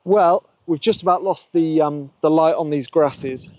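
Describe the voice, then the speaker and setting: A man hosting his own photography channel, thinking aloud in a relaxed, musing way as he works a scene outdoors on a windy coast. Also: a little high, a little slow. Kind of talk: casual vlog narration on location.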